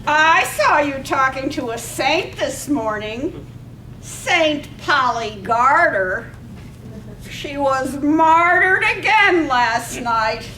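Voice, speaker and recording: A woman's voice: sits high, expressive, drawn-out words, sharp tone, heavily acted. A woman acting a sour, haughty, nagging wife with a prim, cutting delivery in a radio drama reading. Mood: scornful. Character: sour, haughty, nagging wife who scorns her husband. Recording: roomy, distant microphone, faint noise.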